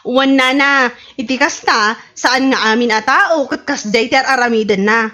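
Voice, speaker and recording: A woman's voice: high-pitched, moderate pace, bright, forceful tone. A woman playing an exasperated, scolding village neighbour in an Ilocano radio comedy drama, speaking with an Ilocano accent. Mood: complaining. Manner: scolding, complaining.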